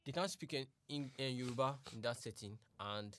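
A man's voice: mid-range voice, brisk, a bit bright. A young man with a Nigerian accent in playful, teasing casual chat, laughing often.

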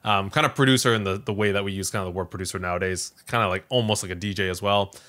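A man hosting a podcast, casually recounting a story in a rambling, animated way. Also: deep voice, rapid-fire. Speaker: podcast host.

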